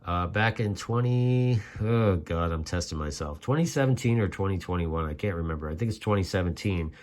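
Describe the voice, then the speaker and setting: A man's voice: low-pitched, animated, brisk, a little mellow. A man hosting a casual explainer in an informal, conversational way with folksy asides. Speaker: host.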